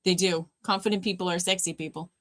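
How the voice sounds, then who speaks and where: Woman streamer, low deep voice, easygoing chat host reading comments, dry close sound. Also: steady tone, quick pace, dry recording. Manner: chatty, warm replies to chat, addresses viewers by name. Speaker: livestream host.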